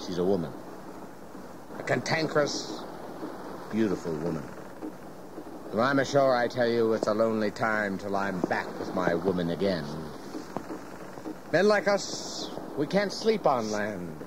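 An actor playing a jovial, garrulous, folksy ship captain in an audio history drama. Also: male voice, fairly low pitch, animated, a little slow, pauses often. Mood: wistful, warm. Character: hearty, cantankerous-sea-loving ship captain, folksy and fatherly. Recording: clear background noise of ship ambience.

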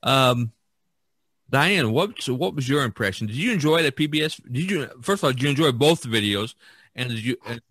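A man hosting a podcast, chatting casually about his subject in a halting way, repeating words as he thinks aloud. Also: medium pitch, expressive, a bit bright, slightly rough, dry recording. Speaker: podcast host. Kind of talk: casual discussion of art and history.

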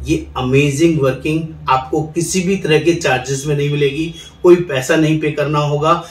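A middle-aged man hosting a promotional explainer, seated in a studio with a lapel mic and pitching viewers in a persuasive, salesy way. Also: steady tone, moderate pace. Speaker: insurance agent recruiter, channel host. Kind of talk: direct-to-camera promotional explainer. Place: indoor studio, seated in office chair. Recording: roomy echo, somewhat distant mic.

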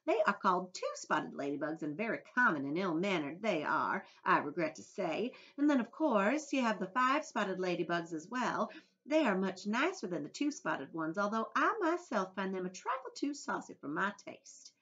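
A woman's voice: medium pitch, sing-song, normal speed, a bit bright, pitched above the performer's own voice. A woman voicing a small, genteel female ladybug who speaks with refined, modest pride. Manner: refined, modest, slightly boastful. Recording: dull lo-fi audio.

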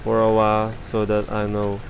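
A man's voice: fairly low pitch, completely flat delivery, moderate pace, slight background noise. A Filipino man with a Filipino accent giving casual gameplay commentary, hesitant, repeating words and switching between languages. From Philippines. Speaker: let's play gamer.

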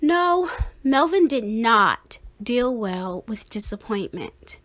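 A woman reading a children's picture book aloud in a deliberate, expressive manner. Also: fairly high pitch. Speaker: storybook reader.

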